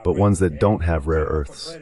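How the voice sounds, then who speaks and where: Man, deep voice, synthetic voice-over, conversational political analysis, emphatic and repetitive. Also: a little slow, mellow. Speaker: political commentator, AI voice-over translation. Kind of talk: conversational geopolitical commentary.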